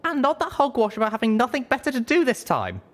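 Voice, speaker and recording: A woman's voice: a little high, huge pitch swings, quick pace, voice pushed up. A woman voicing a feisty young pony mare heroine, stubborn, suspicious and confrontational, in an audiobook story. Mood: demanding. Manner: confrontational, demanding.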